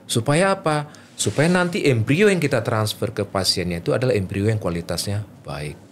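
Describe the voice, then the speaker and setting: A man's voice: on the low side, lively intonation, normal speed, a little hiss or hum. A man speaking as a guest doctor, explaining medical matters informally, with repeated points and rhetorical questions. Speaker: doctor, fertility specialist guest.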